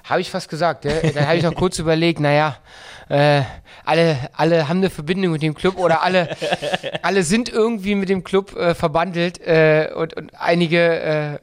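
A middle-aged man with a light Berlin accent, a football coach talking in a casual interview, halting with many restarts and fillers. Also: on the high side, steady tone. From Berlin-Brandenburg.

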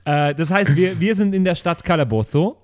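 A man's voice: on the high side, normal speed, a little mellow. A man chatting casually as a playful, inquisitive co-host of a role-play podcast. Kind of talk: casual chat.

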